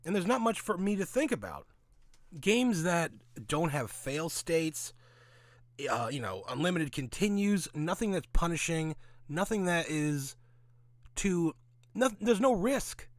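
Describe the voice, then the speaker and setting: A man's voice: a little high, big pitch swings, a little slow, no room sound. A man giving casual, opinionated commentary in a blunt, sweary, sarcastic way, often asking and answering his own questions. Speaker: host of a gaming commentary audio log.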